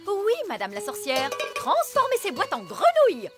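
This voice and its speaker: A woman voicing a frank, bossy nanny fairy in a French cartoon, with a brisk, blunt delivery. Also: high voice, wildly swooping pitch, over loud music. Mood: encouraging. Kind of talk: French cartoon dub acting.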